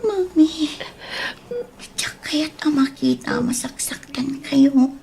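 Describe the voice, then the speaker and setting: A woman playing a sweet, worried young child in a radio drama, pleading in a breathy voice. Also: high-pitched, slow, warm tone, exaggerated voice. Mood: sad, hushed. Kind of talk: tearful radio drama acting. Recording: faint background noise.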